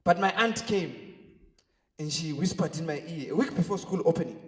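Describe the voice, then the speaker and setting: Animated stand-up comedian from Zimbabwe, high voice. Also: male voice, normal speed. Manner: animated storytelling, shouting punchlines. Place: theater stage. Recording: echoey hall from far off. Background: audience laughter.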